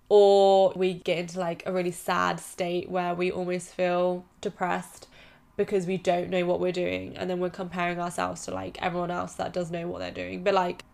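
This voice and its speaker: A woman's voice: lowish voice, gravelly. Chatty young woman with a stuffy nose. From United Kingdom. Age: 20s. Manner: chatty, encouraging, lots of "like" fillers. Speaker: podcast host. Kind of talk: casual solo podcast advice.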